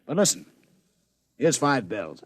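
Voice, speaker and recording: Brusque, slangy casino boss, animated. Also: male voice, medium pitch, takes it slowly, dry recording. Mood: amused, conceding. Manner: slangy, brusque, repeats himself impatiently. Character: gruff, impatient casino boss, grudgingly amused. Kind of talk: 1950s radio drama acting.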